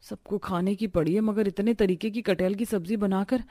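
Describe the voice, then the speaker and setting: A woman's voice: medium pitch, steady tone, moderate pace, warm tone, no echo, studio quality, lightly acted. A woman voicing a weary, put-upon young daughter-in-law in a family cartoon, plaintive but polite to her elders. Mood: weary grumbling. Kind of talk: Hindi family cartoon voice acting.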